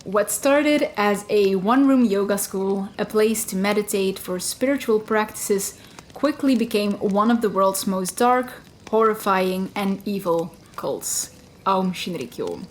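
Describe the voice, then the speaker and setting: A woman's voice: mid-range voice, animated, a little slow, a little hiss or hum. A woman co-hosting a true-crime podcast, narrating from her research in a casual way, with fillers and hedges. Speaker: podcast co-host.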